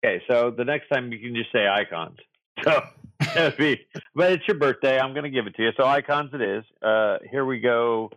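A man's voice: mid-range voice, moderate pace, fairly bright tone, no room sound, muffled recording. A man co-hosting a podcast in a casual, rambling way, full of fillers and restarts as he leads a community segment and trivia. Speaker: podcast co-host running trivia.

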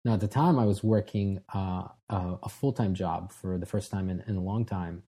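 A man in his thirties from Israel, a guest telling his story in a relaxed, casual way with frequent restarts. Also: low-pitched, moderate pace, breathy edge. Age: 30s. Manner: relaxed, frequent restarts and fillers, self-deprecating. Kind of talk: casual interview talk.

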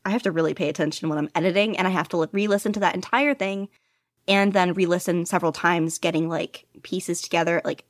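A woman's voice: on the low side, dry recording, studio quality. A woman chatting casually as an interview guest, rambling along in a self-deprecating way. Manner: animated self-deprecating rambling, quick to laugh at herself. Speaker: podfic narrator being interviewed.

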